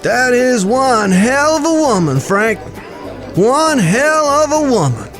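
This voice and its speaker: An actor in a western audio drama playing a hearty cowboy friend who spins tales in a folksy, emphatic way. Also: male voice, very high-pitched, very animated, slow pace, fairly bright tone, exaggerated voice. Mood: admiring. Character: hearty, storytelling older cowboy friend, slow drawl. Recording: music in the background.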